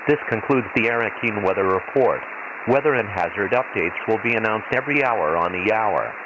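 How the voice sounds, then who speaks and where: Guy doing a desert planet weather radio announcer, kinda low voice, formal and impassive, lots of static hiss on the radio. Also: expressive. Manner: formal, measured, impersonal. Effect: radio transmission filter. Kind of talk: scripted emergency weather radio bulletin. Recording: hissy, noisy shortwave-style radio broadcast.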